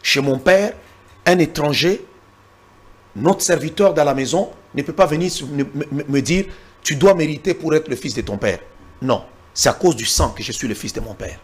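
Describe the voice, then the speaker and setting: A man's voice: on the high side, lively intonation, moderate pace, slightly rough. A Congolese man arguing hard in a political interview, repeating words when pressed and citing the Bible. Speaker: interview guest. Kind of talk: heated political debate.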